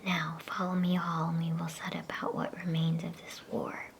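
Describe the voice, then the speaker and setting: Lady playing evil storybook witch queen, hushed breathy whisper, flat and creaky, bit of noise. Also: on the low side, moderate pace. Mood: commanding. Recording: faint background noise.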